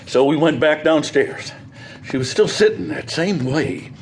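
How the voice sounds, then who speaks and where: An actor playing an earnest, hesitant farmer giving witness in an audio drama, with an American accent and halting, folksy storytelling. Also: male voice, on the high side, animated, creaky. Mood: earnest recounting. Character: plain-spoken farmer witness, earnest and hesitant, recounting a grim discovery. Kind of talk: audio theatre acting.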